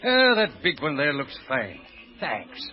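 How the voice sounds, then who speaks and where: Genial lilting street cop. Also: male voice, a little high, huge pitch swings, a little slow. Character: genial, chuckling male traffic policeman. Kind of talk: old-time radio drama acting. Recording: muffled old radio recording.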